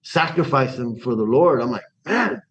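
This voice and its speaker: A man from Iran telling his life story in a casual interview. He talks in an animated way, restarts his sentences and acts out the dialogue he quotes. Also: mid-range voice, normal speed, muffled recording, slight background noise. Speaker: guest.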